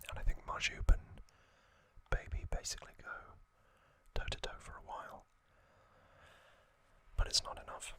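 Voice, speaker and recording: A man whispering gently in an ASMR style, hosting with frequent pauses, close to the microphone. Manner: slow, gentle delivery with pauses. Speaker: host of an ASMR trivia channel.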